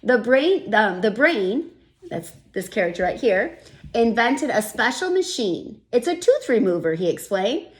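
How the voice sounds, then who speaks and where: A woman's voice: on the high side, unhurried. A woman reading a children's picture book aloud and stopping to explain her thinking, like a teacher. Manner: gentle, expressive storybook reading with thinking-aloud asides. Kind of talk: children's read-aloud with comments.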